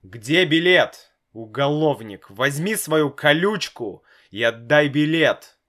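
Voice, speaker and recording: Brief: hot-tempered father, exasperated and loud, drawn-out lines, played by a male reader. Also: fairly high pitch, lively intonation, voice pushed up. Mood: agitated. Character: nervous, hot-tempered father, shouting and hissing. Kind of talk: storybook reading.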